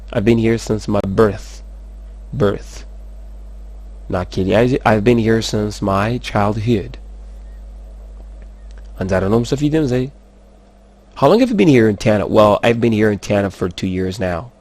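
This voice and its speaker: A man teaching English, saying model sentences slowly and switching quickly into rapid explanations. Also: fairly low pitch, darkish tone, no echo. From Madagascar. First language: Malagasy. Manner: slow, careful model sentences between fast explanations. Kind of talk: language lesson, explaining in Malagasy and French.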